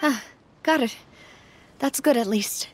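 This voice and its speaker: A woman's voice: high-pitched, slow, slight background noise. A woman playing a young lady boss in a video game, poised, earnest and resolute. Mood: weary, subdued.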